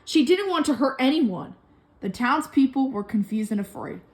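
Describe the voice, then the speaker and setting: A woman telling a children's story from a book in an expressive way. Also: sits high, normal speed. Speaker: storybook reader.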